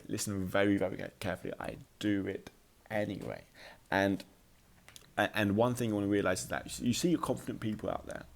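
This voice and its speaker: Low-voiced man, halting motivational talk. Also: vocal fry. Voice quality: slight occasional stutter. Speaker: podcast host.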